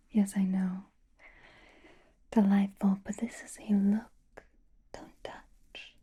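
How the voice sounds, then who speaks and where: A woman playing a flirtatious, possessive female vampire in an ASMR roleplay, softly whispering with teasing endearments. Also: mid-range voice, exaggerated voice. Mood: possessive, playful. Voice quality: soft breathy whisper. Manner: slow, teasing, drawling endearments.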